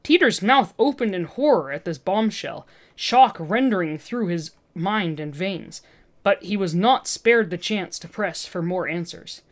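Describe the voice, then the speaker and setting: A woman's voice: fairly low pitch, expressive, a little slow. A woman reading fiction aloud as narrator, in a dramatic scripted storytelling style.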